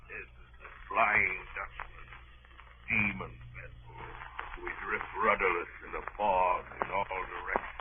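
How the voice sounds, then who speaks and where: An actor playing a huge, defiant, brooding ship's passenger with theatrical, ominous delivery in a 1930s radio drama. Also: male voice, sits low, flat delivery. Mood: ominous, mocking. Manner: theatrical, grandiose, slow and ominous. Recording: scratchy, muffled, noisy 1930s radio broadcast.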